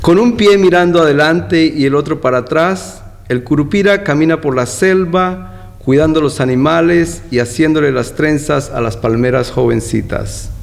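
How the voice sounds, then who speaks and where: Gravelly man in an echoey hall. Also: on the high side. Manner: halting, repeats words, searching. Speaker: interviewed guest, poet. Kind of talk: interview talk and poem reading. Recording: echoey hall, faint background noise.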